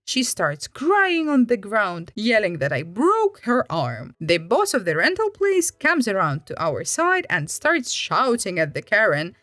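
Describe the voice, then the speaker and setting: A woman from Finland hosting a story channel, reading aloud in a dramatic, expressive way. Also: medium pitch, no echo, clean studio sound. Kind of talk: animated read-aloud storytelling. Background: background music.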